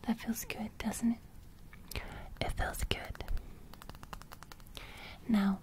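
A young woman playing a gentle sleep fairy in a whispered ASMR roleplay, murmuring breathy, repetitive reassurances close to the microphone. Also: a little high, little pitch movement, extremely slow. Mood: reassuring. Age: young adult. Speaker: ASMR creator. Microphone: close studio microphone.

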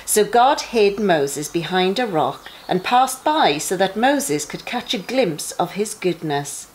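Lively, unhurried woman reading to children. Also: mid-range voice, low-quality recording. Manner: animated storytelling with emphatic phrasing for young children. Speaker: bedtime story reader for a junior church. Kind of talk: expressive read-aloud of children's Bible stories.

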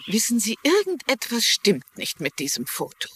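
Lively, inquisitive older woman sleuth. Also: on the high side, normal speed, a little hiss or hum. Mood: puzzled, reasoning. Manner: inquisitive, courteous. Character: shrewd, polite older widow mystery writer and amateur sleuth. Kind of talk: dubbed TV crime drama dialogue.

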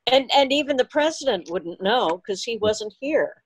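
A woman's voice: a little high, expressive, moderate pace, slightly rough, rough recording. Woman, casual group chat, jokey, video call sound, club member. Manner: jokey, laughs often. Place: indoors at home, video call.

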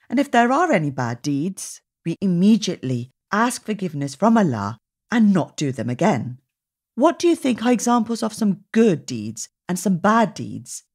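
A woman reading aloud to young children as a storytime host, with expressive, deliberate phrasing. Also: on the low side, breathy edge. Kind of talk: read-aloud narration for children.

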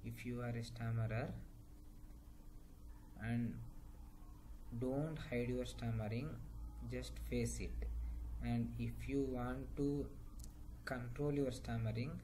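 A young man from Hyderabad with an Indian English accent, giving a personal testimonial to a webcam at home, speaking slowly and carefully with pauses and occasional repeated words. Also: mid-range voice, steady tone, warm tone. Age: young adult. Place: indoor room at home. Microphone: laptop webcam at desk distance. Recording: noisy home webcam recording.

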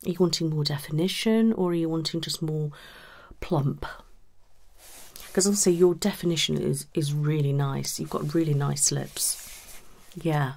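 A British woman in her thirties speaking softly and reassuringly in an ASMR consultation roleplay, close to the microphone. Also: low-pitched, fairly even intonation, gravelly. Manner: reassuring, unhurried, conversational questioning. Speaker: ASMR performer playing a cosmetic surgery consultant. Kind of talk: ASMR roleplay consultation, soft-spoken with some whispering. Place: small indoor room set up as a clinic.

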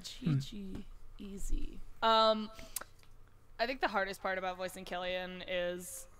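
A woman's voice: mid-range voice, steady tone, takes it slowly, a bit bright. A woman chatting casually in a cast Q&A as a voice actor, with a jokey, giggly, self-deprecating manner. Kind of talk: casual group chat.

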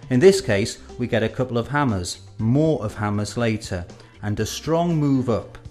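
A middle-aged man presenting scripted instructional narration in a measured way, with deliberate pauses for emphasis. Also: mid-range voice, animated, darkish tone. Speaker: presenter of an educational film. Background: background music.

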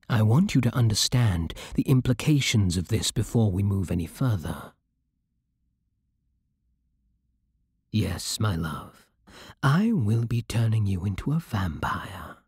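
A seductive, imperious vampire king played by a man speaks with a British accent in a soft, very breathy voice. His tone is dark and mellow, with expressive swings in pitch as he gives possessive commands. It is an intimate ASMR roleplay with a dry, close sound. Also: measured pace, dry recording, exaggerated voice. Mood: seductive, solemn. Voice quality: breathy, hushed.

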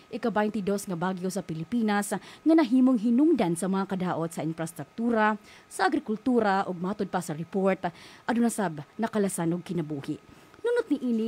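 A woman's voice: mid-range voice, darkish tone, breathy edge. A middle-aged woman with a Filipino accent, hosting on campus radio in a small studio on a studio mic, upbeat with frequent fillers. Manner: upbeat announcer delivery with fillers. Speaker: radio host. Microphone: studio mic with headphones.

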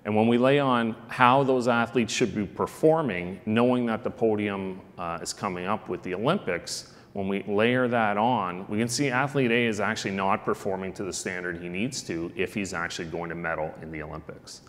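A man's voice: lowish voice, moderate pace, hardly any pauses, in a large hall. A middle-aged man giving a conference talk at a lectern, conversational, with frequent ums and uhs. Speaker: keynote speaker on sports analytics. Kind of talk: conference presentation. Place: large conference hall, at a lectern. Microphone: lectern microphone.

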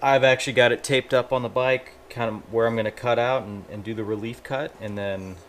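A man with a mid-range voice hosts a casual build video from a small garage. He explains the hands-on work informally, thinking out loud as he goes. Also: medium pitch, fairly even intonation, fairly quick, slight background noise. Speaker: host of a motorcycle build channel. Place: small garage workshop, indoors.

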